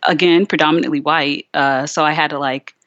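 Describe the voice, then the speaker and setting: A young woman with a low voice talks openly as a guest on an interview podcast, with lots of "like" and restarts. Her voice is dry and close, and the sound is a little dull and lo-fi. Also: fairly even intonation, a little fast, fairly bright tone, no room sound. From Richmond, Virginia, USA. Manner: chatty and candid, heavy use of "like" and restarts. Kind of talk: casual interview conversation.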